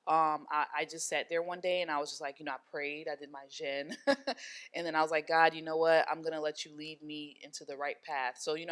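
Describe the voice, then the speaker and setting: A woman's voice: low voice, fairly bright tone, clear, resonant tone. A young adult woman from Haiti, a hair studio owner, talking casually in an interview with many fillers and restarts.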